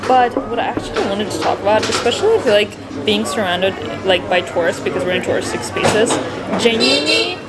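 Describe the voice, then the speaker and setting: A woman's voice: mid-range voice, big pitch swings, fairly quick. A young woman from a post-Soviet country, a native Russian speaker, talking in a chatty and earnest way, full of "like" fillers, as she vlogs her travels. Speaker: travel vlogger. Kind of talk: casual vlog monologue. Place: outdoors and in shops and cafes on a trip. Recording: loud background music.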